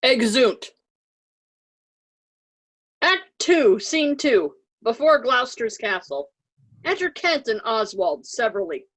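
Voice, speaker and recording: A woman's voice: fairly high pitch, sing-song, slow pace, long pauses, a bit bright. A woman reading out stage directions as scripted narration for a play.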